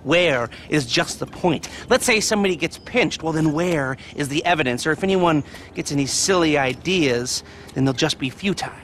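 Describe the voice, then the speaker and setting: Know-it-all middle-aged heist brain in a game, smug and excitable, lively pitch, bit of background noise. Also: male voice, normal speed. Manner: condescending, pedantic, excitable. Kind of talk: video game cutscene acting.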